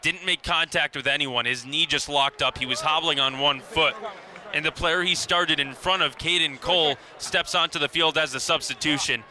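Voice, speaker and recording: A man in his twenties giving live radio play-by-play in clipped, descriptive phrases that grow excited on big plays. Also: a little high, normal speed. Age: 20s. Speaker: play-by-play commentator. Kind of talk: live radio sports commentary. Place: outdoor lacrosse stadium. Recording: noisy outdoor broadcast with stadium ambience.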